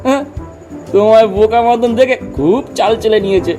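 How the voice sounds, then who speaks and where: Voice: medium pitch, a little fast, mellow, faint music behind, close to an everyday voice. A performer in a comic audio drama playing cantankerous, boastful village men who quibble pedantically and argue emphatically. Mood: scornful, bragging. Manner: argumentative, emphatic, rambling lists.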